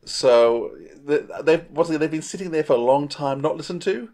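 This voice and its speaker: A man's voice: a little high, normal speed, darkish tone. A middle-aged man co-hosting a podcast over a video call, casually and emphatically recommending things he enjoys. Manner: enthusiastic, emphatic. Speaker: podcast co-host. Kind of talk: casual chat, enthusiastic reviewing. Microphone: earphones on a video call.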